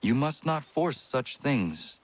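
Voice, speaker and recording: A serious young man in a video game. He is reserved and earnest, choosing measured, formal words in a mid-pitched voice. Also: sing-song. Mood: gentle concern. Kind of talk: video game character dialogue.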